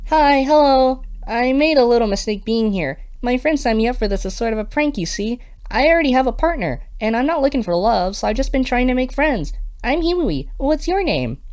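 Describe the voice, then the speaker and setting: A woman's voice: fairly high pitch, expressive, brisk, hardly any pauses, darkish tone, lightly acted. A woman voicing a friendly alien sports superfan, talkative and geeky in how she explains things, in an audio roleplay. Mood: friendly, keen.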